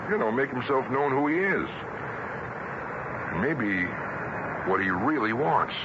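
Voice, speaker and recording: An actor playing a folksy, modest middle-aged American husband with a halting, plain-spoken way, in a vintage radio drama. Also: male voice, medium pitch, animated, lightly acted. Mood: hesitant. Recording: muffled old radio recording, noisy vehicle ambience.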